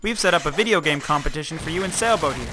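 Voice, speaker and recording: A man hosting a cartoon contest and briskly announcing the rules. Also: a little high, animated, noisy background. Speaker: contest host. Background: faint background music.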